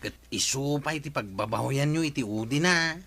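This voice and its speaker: A man's voice: fairly high pitch, normal speed, pushed and bright. A man playing a sensible younger nephew who reasons earnestly with his uncle in a radio comedy. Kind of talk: radio comedy drama acting.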